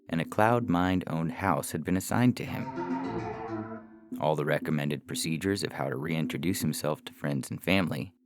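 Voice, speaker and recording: Really deep voiced guy reading a sci-fi story, lots of gravel, music playing behind him. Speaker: podcast narrator reading science fiction stories. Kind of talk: scripted story narration.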